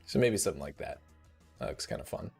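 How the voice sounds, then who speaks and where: Man, 30s, live tutorial host; slightly rough, laid-back, lowish voice, faint music bed. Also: fast. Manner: relaxed, thinking aloud with fillers.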